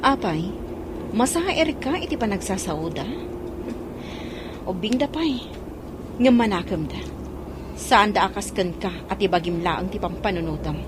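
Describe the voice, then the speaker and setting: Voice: medium pitch, expressive, unhurried, pauses often, noisy background, natural voice. A woman playing a jealous, reproachful, sarcastic wife and mother in an audio drama. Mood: bitter, jealous.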